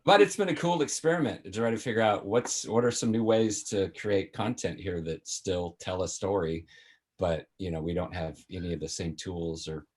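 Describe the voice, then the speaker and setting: A man's voice: sits low, normal speed, a little mellow. A man talking casually and conversationally on a group video call. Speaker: video production worker. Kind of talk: casual chat.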